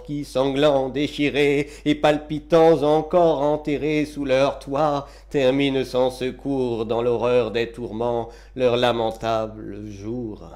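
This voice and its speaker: A man giving a dramatic recitation of classical verse, with solemn, declamatory and deliberate phrasing. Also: medium pitch, darkish tone. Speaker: reader of poetry.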